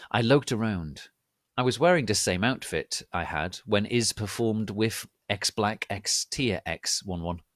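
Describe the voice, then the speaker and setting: A man giving a theatrical, melodramatic dramatic reading of fan fiction as narrator, with a deadpan commitment to the text. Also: lowish voice, no echo, clean studio sound. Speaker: narrator, reader.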